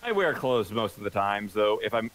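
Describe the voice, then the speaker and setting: A man playing a tabletop role-playing game, explaining his character's powers with many halting restarts. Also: on the low side, animated, a bit bright, slight background noise. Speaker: player.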